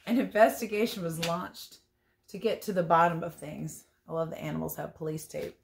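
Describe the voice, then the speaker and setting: A woman reading a picture book aloud as a teacher would, expressive and playful, with chatty asides about the pictures. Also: fairly low pitch, normal speed, creaky, a little hiss or hum. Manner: playful, chatty, commenting on the pictures as she goes. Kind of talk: storybook read-aloud with casual asides.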